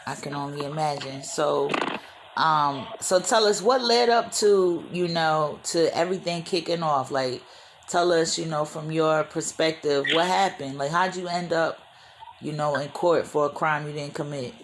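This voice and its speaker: A woman's voice: low-pitched, unhurried, slight background noise, rough recording. A woman hosting a radio interview show, speaking in friendly, casual slang.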